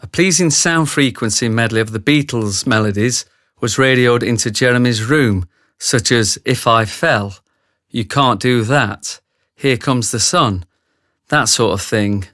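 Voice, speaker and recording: A man narrating a radio drama as a scripted story, in a measured, literary manner. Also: mid-range voice, a bit bright, no room sound, studio quality, lightly acted.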